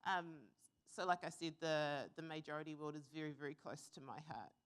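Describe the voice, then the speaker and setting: A young woman from New Zealand giving an earnest, reflective conference talk, pausing with fillers now and then. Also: low voice, clear, resonant tone. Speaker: conference speaker. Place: conference hall.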